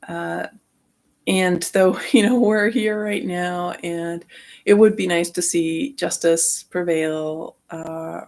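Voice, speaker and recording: Lady vlogger just thinking out loud, pretty monotone, slow, keeps trailing off. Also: on the low side. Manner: halting, trailing off mid-thought. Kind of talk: rambling personal reflection.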